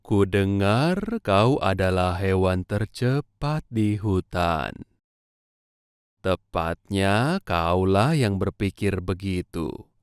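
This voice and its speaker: A man voicing figures in a children's cartoon story with a gentle storybook delivery. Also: low-pitched, expressive, slow, clean tone, no echo, studio quality. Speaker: voice actor for several animal and human figures.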